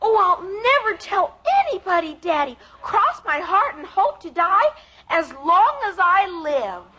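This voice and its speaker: An eager kid sister in an old radio family comedy. The little girl's voice is extremely high, bright and very animated, whiny and dramatically earnest. It sounds like a dated, noisy broadcast. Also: unhurried, muffled recording, heavily acted. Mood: thrilled, earnest. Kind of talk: 1950s radio sitcom acting.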